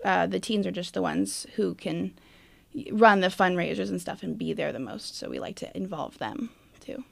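A woman's voice: lowish voice, normal speed, vocal fry, no room sound. A woman being interviewed, giving casual, plainspoken explanations as a guest. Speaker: interview guest, theater youth board leader.

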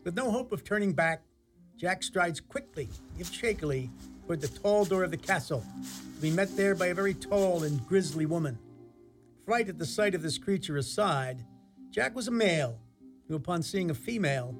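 A man with a high-pitched voice tells a radio-play story. He is theatrical and wry, with faint music behind him. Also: lively intonation, normal speed. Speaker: narrator.

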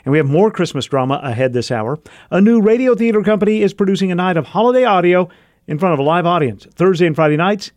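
A man's voice: high-pitched, lively intonation, fast, a little mellow, no room sound. A man hosting public radio, interviewing and announcing at a close studio microphone. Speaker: radio host.

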